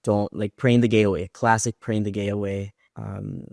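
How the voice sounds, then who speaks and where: Slightly low, even-toned man from Puerto Rico. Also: normal speed, vocal fry, dry recording, clean studio sound. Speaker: podcast guest. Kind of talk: conversational personal storytelling.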